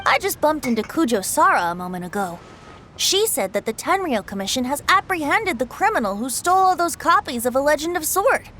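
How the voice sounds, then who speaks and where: A woman's voice: high voice, pushed and bright, a little hiss or hum, exaggerated voice. A woman playing a carefree, playful young male bard in a video game, with a lilting, lighthearted delivery. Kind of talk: video game character voice acting.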